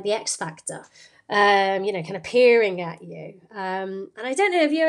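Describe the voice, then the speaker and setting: A woman hosting a podcast, talking through a motivational story in an unscripted, rambling way full of fillers and restarts. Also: a little high. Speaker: podcast host.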